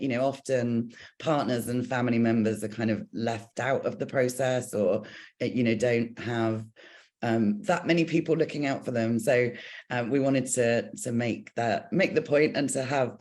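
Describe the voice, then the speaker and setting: A woman's voice: extremely low pitch, darkish tone. Hesitant woman hosting a video call. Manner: hesitant, many fillers and restarts. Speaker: host.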